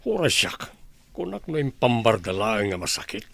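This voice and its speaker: A man's voice: fairly low pitch, sing-song, moderate pace, a bit bright, no echo, exaggerated voice. A man playing a skeptical middle-aged father in a radio comedy drama, blunt and disapproving. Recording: clear background noise with clicks.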